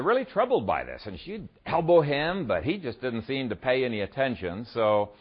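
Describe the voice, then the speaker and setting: Pastor from Ethiopia telling a story, lo-fi. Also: male voice, mid-range voice. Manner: conversational storyteller, frequent "uh" fillers. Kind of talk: sermon with a humorous opening story.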